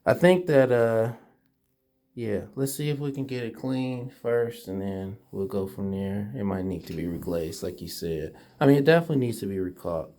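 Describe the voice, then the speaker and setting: A man talking casually and informally with a colleague during an indoor walkthrough, thinking aloud and making quick decisions. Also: on the low side, mellow. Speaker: property manager doing a walkthrough inspection. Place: indoors, rooms of an empty house under inspection.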